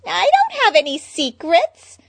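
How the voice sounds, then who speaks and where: Squeaky bubbly villainess. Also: female voice, wildly swooping pitch, a little slow, gravelly, no echo, heavily acted. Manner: squeaky, high and breathless. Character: bubbly ditzy young woman villain, squealing.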